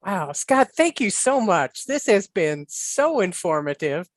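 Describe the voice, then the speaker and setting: A host warmly thanking a guest speaker in an effusive way. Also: mid-range voice, very animated, measured pace, dry recording, studio quality. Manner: effusive, grateful.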